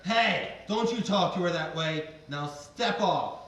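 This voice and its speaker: A man's voice: sits high, slow pace, a bit bright. A man playing an earnest, meek suitor in a puppet comedy, speaking hesitantly. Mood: protective. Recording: very echoey, far from microphone.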